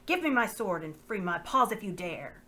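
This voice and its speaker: A woman's voice: medium pitch, big pitch swings, moderate pace, pushed and bright. A woman reading aloud, voicing a small, proud and valiant male talking mouse with a haughty, indignant manner. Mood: furious.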